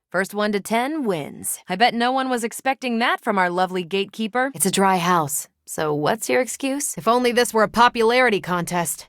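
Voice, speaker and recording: A woman's voice: mid-range voice, no echo, clean studio sound, lightly acted. A woman voicing a confident, wry, friendly superhero in a video game, with a breezy, teasing, dryly self-assured delivery. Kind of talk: video game voice acting, conversational.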